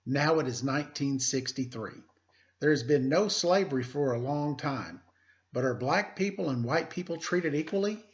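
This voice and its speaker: Man, slightly high voice, teacher reading aloud, deliberate emphasis, casual asides, muffled lo-fi sound. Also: expressive. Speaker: elementary teacher reading a picture book aloud.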